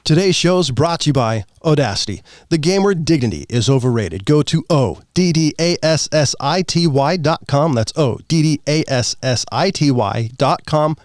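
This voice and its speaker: A man's voice: fairly high pitch, animated, normal speed, hardly any pauses, dry recording, studio quality. A man reading a scripted promotional sponsor spot as an advertisement announcer.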